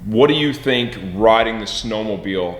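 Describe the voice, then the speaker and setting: An upbeat middle-aged man with a slightly low voice chats and jokes with his son. He keeps asking him leading questions. He is recorded from a distance in a big garage, with a long, roomy echo. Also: animated, normal speed. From Michigan, USA. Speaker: host, team owner and father. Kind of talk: casual chat with his son. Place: large garage workshop indoors. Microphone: far camera, no mic seen. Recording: echoey, roomy garage sound.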